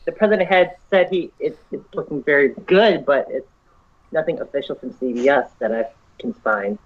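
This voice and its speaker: A man speaks up on a podcast call in a matter-of-fact, informative way. His voice is slightly high and the audio is muffled. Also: animated, normal speed, breathy edge, dry recording. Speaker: podcast guest. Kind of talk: casual chat.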